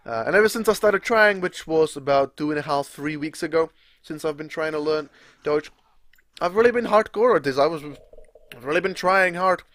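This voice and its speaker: A young man with a Czech accent rambles on in a casual, self-mocking way, swearing as he goes. His voice sits a bit high for a man, and the sound is very dry and close to the mic. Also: fairly bright tone, dry recording. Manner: chatty, swears freely, laughs at himself. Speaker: gaming video creator. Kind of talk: casual rambling live commentary.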